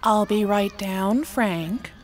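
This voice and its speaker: A woman voicing an obliging, self-aware spaceship, calm and matter-of-fact, in a sci-fi audio drama. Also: medium pitch, takes it slowly. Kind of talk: sci-fi audio theatre acting.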